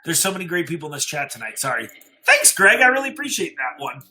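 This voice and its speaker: A man's voice: a little high, sing-song, fast, bright, forceful tone, faint music behind. A man hosting a livestream in a casual, informal, chatty way, talking appreciatively with his viewers. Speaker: livestream host and story reader.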